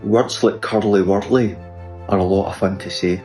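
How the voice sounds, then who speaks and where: Low southwest Scottish man, unhurried. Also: fairly even intonation, darkish tone, soft background music. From Prestwick, Scotland. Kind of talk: casual self-introduction.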